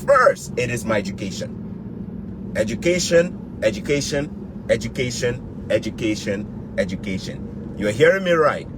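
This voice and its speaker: Emphatic Ghanaian man over clear background noise. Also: mid-range voice, sing-song, measured pace. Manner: emphatic, repeats key words and phrases. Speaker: YouTube vlogger. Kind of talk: motivational advice vlog.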